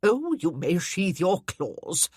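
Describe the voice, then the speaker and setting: A woman voicing an imperious elderly dowager countess who speaks bluntly and deliberately. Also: on the low side, big pitch swings, a little mellow, slightly rough, dry recording, studio quality, full character voice.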